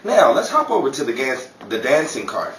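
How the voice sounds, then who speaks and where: Animated man acting a 1930s radio emcee. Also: mid-range voice, normal speed. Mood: playful. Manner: playful, theatrical. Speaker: radio show host. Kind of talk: 1930s-style radio show announcing and comedy. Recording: roomy, distant-mic recording with clear noise.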